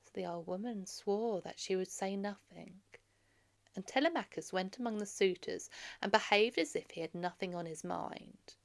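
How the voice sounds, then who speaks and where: A woman's voice: lowish voice, vocal fry. A woman reading a story aloud for children as a narrator. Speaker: storybook reader.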